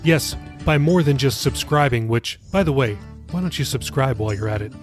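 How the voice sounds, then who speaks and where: A man's voice: mid-range voice, expressive, normal speed, faint music behind. A man hosting a podcast, giving a chatty, informal, upbeat promo read. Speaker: podcast host.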